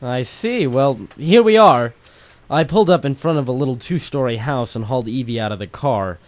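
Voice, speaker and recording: A man's voice: medium pitch, lively intonation, moderate pace, dry recording, close to an everyday voice. A man playing a hardboiled private eye, narrating dramatically and wryly in the style of an old-time noir radio drama. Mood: brooding. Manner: wry, dramatic first-person storytelling. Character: hardboiled male private eye narrating his own case.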